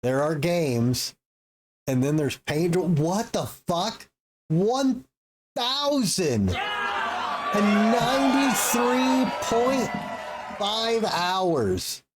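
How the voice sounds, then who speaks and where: A man's voice: sits high, expressive, extremely slow, heavy background noise. A man streaming a game live, reacting with jokes and swearing and working out numbers aloud. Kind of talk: casual live-stream commentary.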